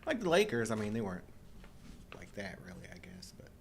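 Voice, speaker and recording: A man's voice: lowish voice, very animated, a little fast, gravelly, a little hiss or hum. A middle-aged man chatting casually as co-host of a live show. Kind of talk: casual chat.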